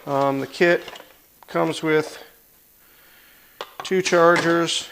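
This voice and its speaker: Creaky-voiced man giving a casual review. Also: a little high, fairly even intonation, extremely slow, a bit bright, a little hiss or hum. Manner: plain-spoken, blunt, demonstrating while talking. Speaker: product reviewer, motorcycle channel host. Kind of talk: casual hands-on product review.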